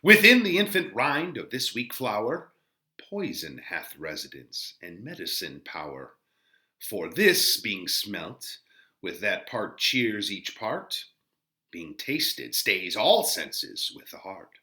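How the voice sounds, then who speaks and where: A man playing a contemplative friar in a dramatic Shakespearean verse reading, slow, emphatic and declamatory. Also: on the low side, sing-song, bright, forceful tone, full character voice. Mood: declamatory, solemn. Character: contemplative friar musing alone on plants, virtue and vice.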